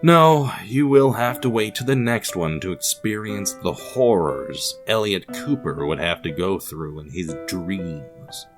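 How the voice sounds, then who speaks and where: A man with a low voice narrates a fiction podcast as a scripted story. His delivery is formal, wry and ominous, with faint guitar music playing behind him. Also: lively intonation, measured pace, few pauses.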